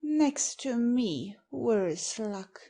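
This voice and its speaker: A cheeky young theatre girl played by a woman in a period satirical audio play. Her voice is high and a little husky, with a drawling, slowish pace. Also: lively intonation, dark tone, exaggerated voice.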